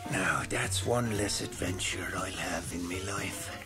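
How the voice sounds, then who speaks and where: An actor playing a wry, cigar-loving cartoon cat in a radio play, with folksy slangy grammar. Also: male voice, low-pitched, measured pace. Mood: wry grumbling. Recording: music behind, 1980s radio play.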